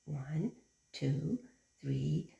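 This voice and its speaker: A woman reading a picture book aloud like a teacher, with simple, instructive phrasing and expressive stress on key words. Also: deep voice, drawn-out words, creaky. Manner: simple, instructive phrasing aimed at young children. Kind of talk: animated children's picture book read-aloud. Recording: echoey room.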